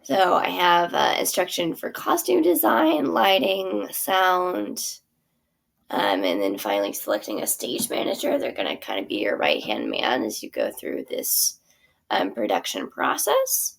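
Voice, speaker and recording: A woman's voice: on the low side, huge pitch swings, measured pace, gravelly. A woman teacher casually walking through her theater curriculum, chatty and full of fillers. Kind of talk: casual walkthrough of a product.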